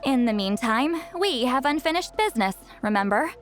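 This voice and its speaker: A woman's voice: high voice, expressive, moderate pace, over music. A woman playing an aloof, self-assured, slightly prickly young shaman in a video game, with a dry, offhand delivery. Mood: smug, explaining.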